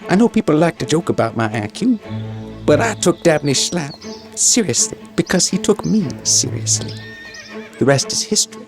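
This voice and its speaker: An actor playing an earnest, simple-minded former president giving an interview soundbite. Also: male voice, fairly high pitch, very animated, moderate pace, music in the background. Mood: earnest, defensive.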